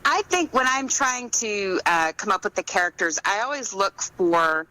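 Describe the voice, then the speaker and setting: A woman's voice: medium pitch, expressive, normal speed, pushed and bright, poor audio. A woman being interviewed as an author, talking casually and reflectively, in an earnest, explanatory way.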